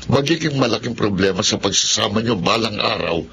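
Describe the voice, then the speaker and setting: A man's voice: fairly low pitch, animated, fairly quick, creaky, a bit bright, a little hiss or hum. An actor playing a stern, concerned middle-aged father in a radio drama, admonishing in a fatherly way. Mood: stern, worried. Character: stern, concerned father of grown twin daughters.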